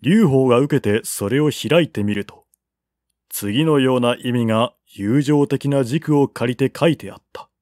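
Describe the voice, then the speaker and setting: Male audiobook narrator for historical fiction: measured, unhurried, mid-pitched, recorded dry. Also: a little mellow, no echo. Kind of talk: dramatic reading of a historical novel.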